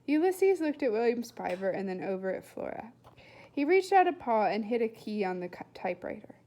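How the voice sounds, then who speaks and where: A woman narrating a children's novel as an expressive read-aloud. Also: a little high, fairly quick. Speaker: storybook reader.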